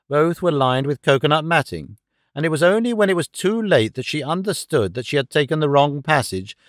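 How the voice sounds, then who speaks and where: Storybook detective acted by a man: male, calm, incisive, assured, precise phrasing, slightly high register. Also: dry recording, studio quality.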